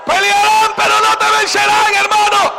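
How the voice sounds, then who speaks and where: A man preaching a fervent sermon at a church service, exhorting the congregation in repeated shouted phrases. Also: very high voice, monotone, moderate pace, vocal fry, a faint crowd, poor audio.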